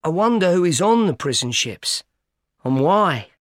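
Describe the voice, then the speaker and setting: A man's voice: a little high, sing-song, unhurried, no room sound, studio quality. A man voicing a frightened young village boy in a storybook reading, speaking in a timid, pleading way. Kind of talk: audiobook character voice.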